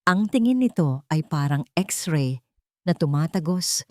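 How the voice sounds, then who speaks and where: A woman's voice: deep voice, expressive, measured pace, dark tone, dry recording, studio quality. A woman with a Filipino accent narrating a dramatic story from a script. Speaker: audiobook narrator.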